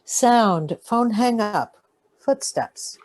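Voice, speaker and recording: A woman's voice: a little high, animated, drawn-out words, darkish tone. A woman reading short sound-effect cues aloud in a radio play performance. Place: live online video call.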